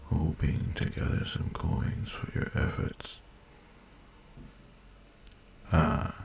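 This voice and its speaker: A man voicing an ancient, haughty and seductive male dragon in a fantasy romance audio roleplay, speaking formally in a breathy, gravelly murmur with teasing condescension. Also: very deep voice, darkish tone, a little hiss or hum, exaggerated voice. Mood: haughty, probing.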